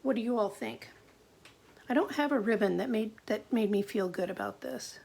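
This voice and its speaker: Woman with a gravelly, husky voice. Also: medium pitch, normal speed, a little mellow. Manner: chatty, thinking aloud while working. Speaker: card-making demonstrator. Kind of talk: live crafting demonstration. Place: home craft desk.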